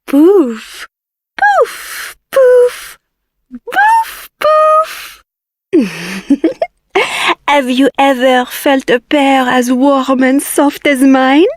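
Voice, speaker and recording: High, slow, flirty French showgirl. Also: dry recording, clean studio sound, exaggerated voice. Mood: gleeful, excited. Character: flirtatious, giggly French showgirl offering massages. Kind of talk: video game character acting.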